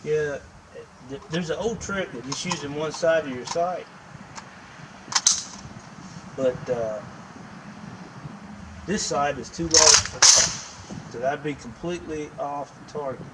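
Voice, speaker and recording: A man with a slightly raised voice talks in a folksy, thinking-aloud way, restarting his words as he shoots. He sits well back from the camera mic outdoors, under loud steady noise with clicks. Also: fairly high pitch, a little slow, recorded from a distance. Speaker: shooting range vlogger. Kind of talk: casual narration while shooting. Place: outdoor shooting range in woods.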